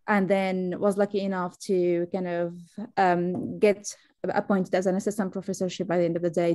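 A woman's voice: fairly low pitch, dark tone, dry recording. A woman from Syria introducing herself informally as a panelist, with light laughs as she talks. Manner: laughs lightly while talking. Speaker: panelist, AI startup founder.

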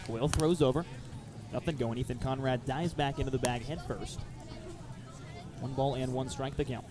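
A man calling live baseball play-by-play for radio, mixing clipped phrases with detailed description. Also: medium pitch.

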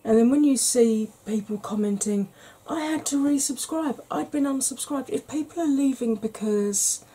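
A middle-aged woman chatting softly in a rambling, gentle way for an ASMR makeup vlog, seated in a small room at home. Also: sits high, moderate pace, poor audio. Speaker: beauty YouTuber. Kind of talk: soft-spoken ASMR makeup chat.

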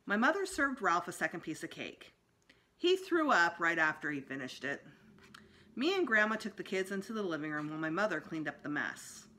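Middle-aged female storytime reader, bright tone, lively swings in pitch. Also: normal speed. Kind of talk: expressive read-aloud of a children's book. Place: small indoor room at home.

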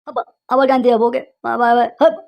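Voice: mid-range voice, fairly even intonation, very fast, gravelly, darkish tone, lightly acted. An actor voicing an agitated, impatient young village husband in a comedy cartoon, with an exclamatory, whining delivery. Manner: exclamatory, repeats a catchphrase cry.

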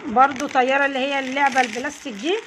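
A woman's voice: high-pitched, fairly even intonation, rapid-fire, noisy background. A woman with an Egyptian Arabic accent chatting casually as a channel host, coaxing children along as they open snack bags at home. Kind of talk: casual unboxing chat with children. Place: home, indoors, family around. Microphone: phone held by hand.

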